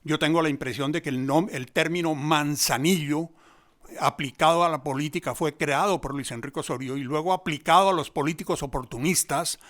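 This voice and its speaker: A Colombian man speaks off the cuff as an interviewed commentator. His voice sits a little high for a man, and he explains and analyses reflectively as he goes. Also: fairly quick, fairly bright tone. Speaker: interviewed commentator on theatre and history. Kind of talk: spontaneous analytical talk.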